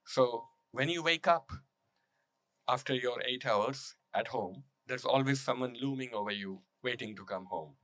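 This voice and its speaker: A man with a bright, crisp-edged, mid-pitched voice gives a public talk. He tells his stories like a writer, measured and emphatic, building with deliberate repetition. The sound is close and dry. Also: no room sound. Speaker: author and journalist speaking at a public reading. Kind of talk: public talk with prepared storytelling and read testimony.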